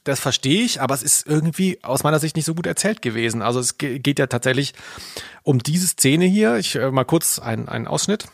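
A man's voice: on the high side, animated, fairly quick, gravelly, no echo, clean studio sound. A man co-hosting a casual comic podcast, asking questions in a mock-puzzled way. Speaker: podcast co-host.

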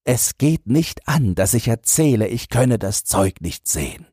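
A man's voice: mid-range voice, sing-song, unhurried, dark tone, slightly rough, no echo, clean studio sound, pitched above the performer's own voice. A man voicing an anxious, hesitant old minister in a fairy tale, flustered and eager to please. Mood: anxious, bewildered.

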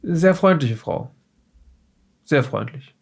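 A man playing a haughty, evasive witness under police questioning in an audio drama, halting and correcting himself as he talks. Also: a little high, expressive, vocal fry, slightly rough, full character voice. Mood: evasive, guarded. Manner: hesitant, halting, indignant, with self-corrections. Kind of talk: improvised in-character audio drama dialogue.

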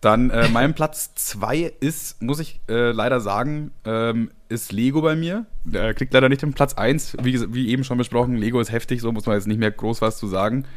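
A young man co-hosting a casual chat, relaxed and teasing, with plenty of slang. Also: mid-range voice, moderate pace, few pauses. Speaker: podcast co-host.

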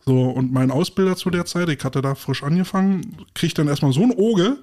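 Ein Mann mit Berliner Akzent, Co-Host eines Podcasts, der im lockeren Gespräch lebhaft Anekdoten erzählt und Dialoge nachspielt. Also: male voice, on the high side, a little fast. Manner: lively storyteller, acts out dialogue. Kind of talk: casual chat, anecdotes.

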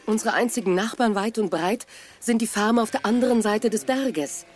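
Woman as worried mother, fairly high voice. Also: normal speed, close to an everyday voice. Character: Misses Price, worried mother on a lonely farm. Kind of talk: German children's audio drama.

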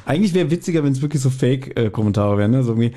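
Man with a slightly mellow, dry delivery. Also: mid-range voice, expressive, very fast. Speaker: podcast co-host. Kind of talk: casual explanatory banter.

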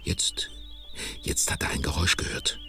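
A man's voice: moderate pace, bright, forceful tone, faint music behind, lightly acted. A man playing the wry storyteller of a children's radio play in scripted narration. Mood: wry storytelling.

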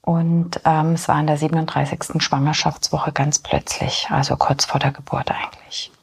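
A woman in her forties, born in Poland and raised in Germany, speaking as an interview guest in a hesitant way with many filler pauses. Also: low voice, flat delivery, gravelly, slightly rough. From born in Poland, grew up in Germany. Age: 40s. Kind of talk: personal interview talk.

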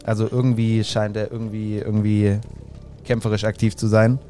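A man running a tabletop role-playing game as game master, describing scenes in a relaxed way with many fillers. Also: fairly low pitch, normal speed, faint music behind.

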